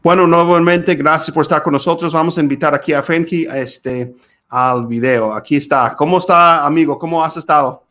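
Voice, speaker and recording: A young man from the United States hosts a casual interview podcast, asking easy questions with plenty of fillers. His voice sits a little high for a man. He keeps a relaxed, friendly pace. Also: moderate pace. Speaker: podcast host.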